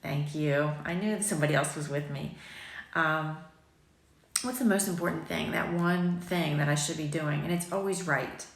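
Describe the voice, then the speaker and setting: A woman in her forties with a low voice and a slight morning rasp, chatting casually with viewers and rambling with plenty of ums. She talks into a phone held at arm's length in a sunny kitchen, and the room gives her voice a noticeable echo. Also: steady tone, moderate pace. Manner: chatty, rambling, self-deprecating, with frequent ums. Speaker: boutique owner vlogging. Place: sunny home kitchen, indoors. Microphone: phone camera at arm's length.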